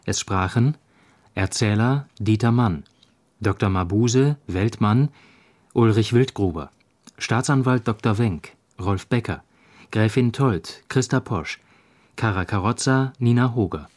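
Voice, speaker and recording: Male announcer for radio credits: formal, scripted, unhurried, slightly low pitch. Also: darkish tone.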